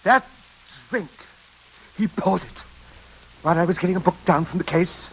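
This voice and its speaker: An actor playing a nervous, obsessive gentleman in old-time radio suspense, speaking hesitantly and repeating himself. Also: high voice, moderate pace, slightly rough. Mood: panicked, dread. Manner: hesitant, repetitive, stammering. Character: nervous, obsessive gentleman gripped by suspicion and dread. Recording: hissy, crackling old radio broadcast.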